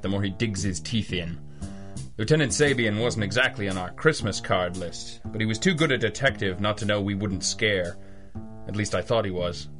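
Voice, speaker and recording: An actor playing a tough, wry private detective, dry and world-weary in old-time radio drama style. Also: male voice, fairly low pitch, fairly bright tone, close to an everyday voice. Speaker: detective and narrator.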